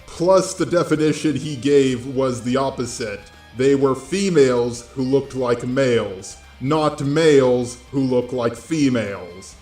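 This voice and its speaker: A man's voice: mid-range voice, a little slow, hardly any pauses, a little mellow. A man delivering scripted online commentary in a blunt, informal way, speaking straight to his viewers. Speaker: host of online drama commentary videos. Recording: roomy echo with music underneath.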